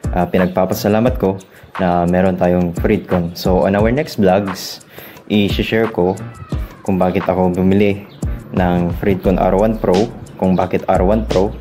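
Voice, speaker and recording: A man with a Filipino accent giving a casual hands-on tutorial as a vlogger, halting as he searches for words and mixes in English terms. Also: sits low.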